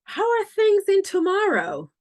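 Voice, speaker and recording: Woman, podcast host, from the US, slow, deliberate, lively pitch. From United States. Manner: deliberate, lively delivery with clearly spoken intro. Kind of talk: podcast intro and interview.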